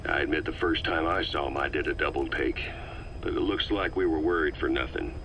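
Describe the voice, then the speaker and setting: A male actor voicing a calm, calculating veteran intelligence officer in a video game, speaking in a measured, reflective way on a taped conversation. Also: extremely low pitch. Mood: reassuring. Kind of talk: video game dialogue on audio tape. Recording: cassette recording with background noise.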